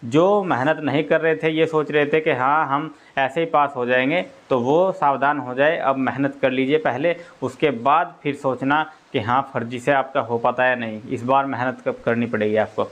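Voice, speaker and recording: A man's voice: mid-range voice, fairly even intonation, moderate pace, few pauses, fairly bright tone, lo-fi sound. A man explaining exam news informally as a coach, repeating his points and often checking that listeners follow.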